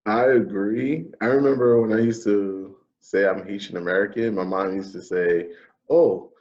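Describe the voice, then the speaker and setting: A man's voice: on the low side, moderate pace, a little mellow. Young man chatting on a dull webcam. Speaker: guest. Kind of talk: casual video-call interview. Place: kitchen, indoors. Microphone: video call webcam. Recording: slightly dull webcam audio.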